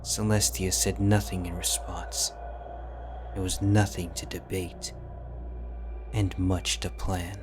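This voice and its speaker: A man narrating a fantasy story audiobook in a slow, measured, somber way. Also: low-pitched, flat delivery, noisy background. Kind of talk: scripted fanfiction narration. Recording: music bed under the reading.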